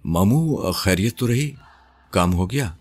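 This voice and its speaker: A man's voice: fairly low pitch, lively intonation, no room sound, soft background music. A man voicing a timid, polite husband in an audio story, with a hesitant, nervous manner. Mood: curious. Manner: hesitant, stammering, deferential.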